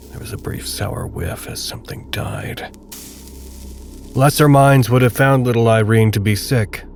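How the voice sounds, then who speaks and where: A man narrating a dark audio drama in a measured, literary, grave and detached manner. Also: on the low side. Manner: measured, literary, solemn storytelling.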